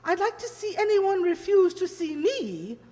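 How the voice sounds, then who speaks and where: A woman's voice: very high voice, sing-song, measured pace, warm tone, full character voice. A woman playing a blunt, impatient older aristocratic lady with a clipped manner in a radio play. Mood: brusque, suspicious. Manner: clipped, brusque, imperious. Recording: echoey hall reverb.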